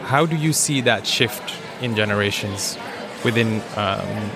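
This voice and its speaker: A man moderating an informal panel discussion, searching for his words with frequent pauses. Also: fairly low pitch, creaky, music in the background. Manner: hesitant, searching, pausing often.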